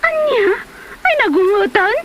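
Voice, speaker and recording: A woman with an Ilocano Filipino accent playing a nosy, excitable neighbor in an amateur comedy drama. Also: very high voice, measured pace, lo-fi sound, noisy background, exaggerated voice. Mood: surprised, prodding.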